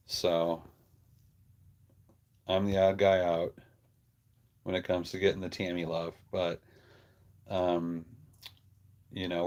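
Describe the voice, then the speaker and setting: A man with a low-pitched voice hosts an easygoing livestream about his animals. He talks in a casual, conversational way, with an affectionate streak of pet talk. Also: a little mellow. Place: on a farm.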